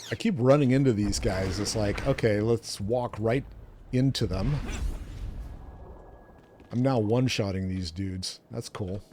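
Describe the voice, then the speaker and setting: A man's voice: on the low side, a little mellow, slight background noise. A man co-hosting a gaming show, chatting casually and thinking aloud as he plays. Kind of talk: casual gameplay chat.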